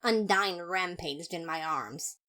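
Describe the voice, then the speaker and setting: A woman reading scripted first-person fiction in a dramatic, expressive narrator's manner. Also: fairly low pitch, slow. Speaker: podfic narrator.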